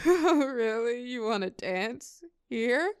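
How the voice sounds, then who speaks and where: A woman's voice: fairly high pitch, expressive, slow pace, clear, resonant tone, no echo. A young woman playing a shy, tender college girl confiding in a close friend, hesitant yet playful, in an intimate audio roleplay. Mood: playful, incredulous. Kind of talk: intimate scripted audio roleplay, one side of a conversation.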